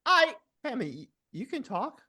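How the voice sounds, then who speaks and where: A woman acts out a nerdy teenage girl in an audio musical. Her voice is high and swoops about animatedly. She is kind and earnest, tripping over her words in a flustered way. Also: sing-song, a little slow, bright, forceful tone, breathy edge, exaggerated voice. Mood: flustered, excited. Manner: stammering, flustered. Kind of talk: podcast musical read-through.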